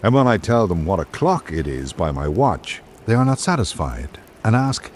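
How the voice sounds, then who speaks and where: Male narrator, lowish and very animated in pitch, formal literary radio-drama read with measured phrasing, faint background noise.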